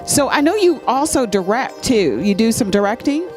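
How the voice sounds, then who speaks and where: A woman's voice: on the high side, sing-song, moderate pace. A woman hosting an interview at a fan convention, asking questions in an eager, enthusiastic way with repeated "I mean". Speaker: interviewer and host. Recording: music faint behind the voice. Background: music playing.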